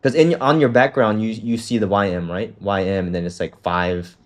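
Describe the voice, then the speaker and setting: A man's voice: fairly low pitch, fairly even intonation, normal speed. A man coaching a student through a trading setup on a call, talking loosely with lots of fillers and the odd swear. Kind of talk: casual walkthrough on a call.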